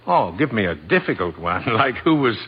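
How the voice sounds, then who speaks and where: An actor playing a kindly, serene old man, genial and gently teasing, in a 1940s radio drama. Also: lowish voice, very animated, normal speed, slightly rough. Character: kindly, serene old man who believes he's Santa. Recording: dull old radio broadcast recording.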